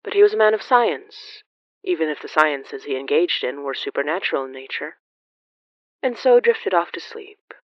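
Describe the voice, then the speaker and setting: A narrator reading scripted horror fiction in a dramatic, deliberate storytelling manner. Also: medium pitch, lively intonation, dry recording, lo-fi sound.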